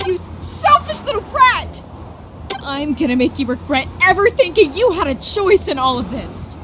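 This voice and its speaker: A woman's voice: very high voice, very animated, moderate pace, noisy background. A woman playing a domineering, scornful mother in a game cutscene, scolding in a haughty, theatrical way. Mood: enraged. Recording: loud music over the voice.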